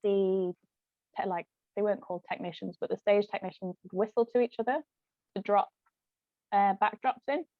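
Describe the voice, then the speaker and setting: A woman's voice: mid-range voice, normal speed, no echo, narrow, tinny audio. Woman chatting and explaining. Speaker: guest author and actress. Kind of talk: casual conversation, explaining terms.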